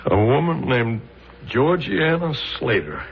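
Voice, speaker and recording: An actor playing a bewildered, pleading salesman who protests his innocence, halting and stammering in old-fashioned radio drama style. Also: mid-range voice, big pitch swings, vocal fry, full character voice. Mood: anxious, halting. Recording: dull, noisy old radio broadcast recording.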